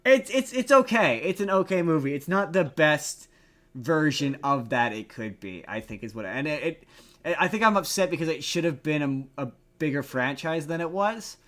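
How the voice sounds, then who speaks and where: A man's voice: on the high side, expressive, normal speed, fairly bright tone. A man co-hosting a podcast in casual chat, rambling and repeating himself for emphasis. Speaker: podcast co-host. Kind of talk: casual chat reviewing movies.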